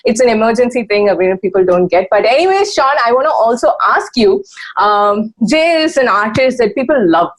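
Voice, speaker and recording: A woman's voice: fairly high pitch, animated, low-quality recording. A woman from India with an Indian English accent, a Tamil native speaker, hosting a radio interview and asking eager questions. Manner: quick affirmations, eager. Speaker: radio host.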